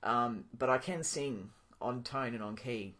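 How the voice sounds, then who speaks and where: A young man talking casually to his camera in a video diary, pausing often with ums and restarting his sentences. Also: medium pitch. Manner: relaxed, thinking aloud with many ums and restarts. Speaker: vlogger.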